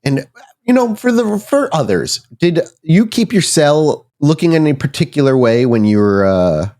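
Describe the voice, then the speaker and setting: A man working as game master, improvising suspenseful, deliberate narration for a horror tabletop roleplaying game. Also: on the high side, lively intonation, a little mellow. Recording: music behind the voice. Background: background music.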